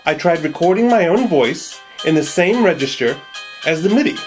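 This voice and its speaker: A man's voice: on the high side, expressive, normal speed, darkish tone, soft background music. Nasal male narrator, wry and self-deprecating, for a home-made tutorial voice-over. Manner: wry, joking. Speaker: video creator.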